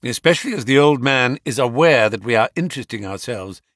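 Gentleman sleuth played by a man: bland politeness, dry amusement, expressive range, close dry sound. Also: mid-range voice, a little fast, no echo. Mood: brisk, serious. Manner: imperturbable, polite, wry. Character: cool, bland, amused male gentleman detective.

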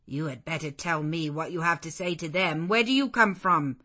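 Woman reading as a gruff old servant. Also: deep voice, normal speed, sharp tone. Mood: sharp. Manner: gruff and plain-spoken. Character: blunt suspicious elderly woman servant.

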